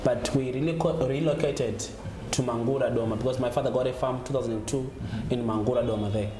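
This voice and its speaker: A man's voice: medium pitch, steady tone, quick pace. A man from Zimbabwe with a Zimbabwean accent, answering interview questions conversationally as a guest, often restarting his phrases. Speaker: gospel musician being interviewed. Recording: echoey room with clear background noise.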